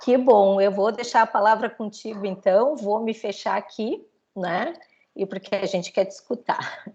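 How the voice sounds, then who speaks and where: A woman's voice: medium pitch, normal speed, darkish tone, a little hiss or hum. Middle-aged woman host, conversational moderator, filler tags, muffled video-call audio. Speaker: professor and event host. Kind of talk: moderating an online lecture.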